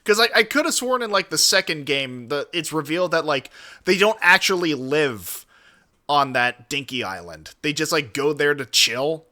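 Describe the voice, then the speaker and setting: A man's voice: a little high, lively intonation, normal speed, bright, forceful tone. A man streaming casual, rambling, profane reaction commentary.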